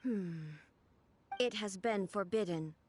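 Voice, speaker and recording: A woman playing a composed, aloof deity ruler in a video game, speaking in a measured, formal way. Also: mid-range voice, a little hiss or hum, natural voice. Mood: withdrawn, flat.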